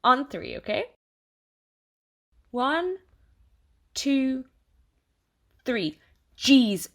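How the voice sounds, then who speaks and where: A woman's voice: sits high, very animated, drawn-out words, full character voice. A woman playing an eager, awkward and apologetic AI assistant who lives inside someone's head, in an audio drama monologue. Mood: awkwardly encouraging. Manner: bubbly, awkward, hesitant, apologetic, over-reassuring. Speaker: AI personal assistant. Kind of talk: audio theatre monologue addressed to the listener.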